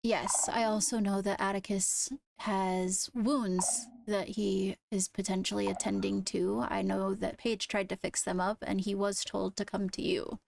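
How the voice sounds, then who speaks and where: A woman playing a caring, earnest ruler in an improvised Minecraft roleplay, speaking in a measured, hesitant, reassuring way. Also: medium pitch, little pitch movement, vocal fry, a little mellow, low-quality recording. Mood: concerned, reassuring. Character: caring, earnest female ruler holding court. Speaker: player leading a roleplay court.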